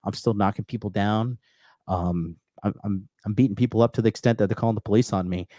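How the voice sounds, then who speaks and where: A brash man in his thirties runs a casual livestream chat on a video call, swearing freely and rambling through fillers and restarts. His voice sits fairly low and the sound is dry and close, with no room echo behind it. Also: steady tone, fast talker, mellow. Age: 30s. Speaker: podcast host.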